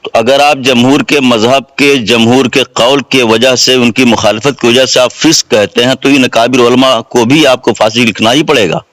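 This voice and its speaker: A man's voice: mid-range voice, fairly even intonation, normal speed, fairly bright tone, no room sound. A man on a recorded phone call, formally debating religion, deferential yet insistent, pressing his points with rhetorical questions. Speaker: caller questioning a mufti.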